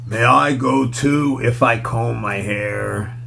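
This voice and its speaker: A big wild storybook lion, read by a man, curious and keen to come along. He asks in slow, drawn-out words, with some background noise behind him. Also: medium pitch, creaky, sharp tone, heavily acted. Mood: curious, eager.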